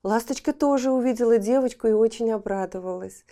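A woman narrating a children's fairy tale audiobook, telling it expressively and dropping to a hushed voice at times. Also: on the high side, a little fast.

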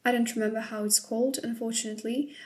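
A woman's voice: fairly high pitch, fairly even intonation, fast, a little mellow. A woman interviewer asking questions in a curious, friendly manner.

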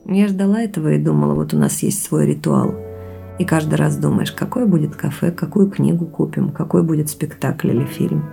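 Thoughtful young woman, creaky and mellow. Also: deep voice, fairly quick. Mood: reflective, wistful. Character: thoughtful young woman sharing family mystery with boyfriend. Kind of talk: naturalistic audio drama dialogue. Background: music underneath.

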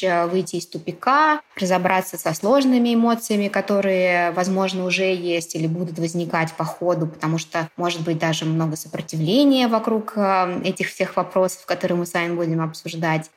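Measured woman hosting a career podcast. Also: medium pitch. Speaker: podcast host and career expert. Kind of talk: explanatory podcast talk to guests.

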